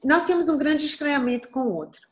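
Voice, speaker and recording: A middle-aged Brazilian singer from Bahia with a light Bahian accent and a soft voice, speaking earnestly and reflectively in an interview, with frequent restarts. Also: female voice, high-pitched, expressive, fast. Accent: Bahian Portuguese. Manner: thoughtful, earnest, frequent restarts and tag questions.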